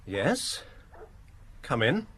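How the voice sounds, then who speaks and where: A formal foreign gentleman under suspicion in an audio drama, over clearly audible background noise. His mid-pitched voice moves widely in intonation. He stays stiff, courteous and guarded. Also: very animated, extremely slow, full character voice. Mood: stiffly courteous.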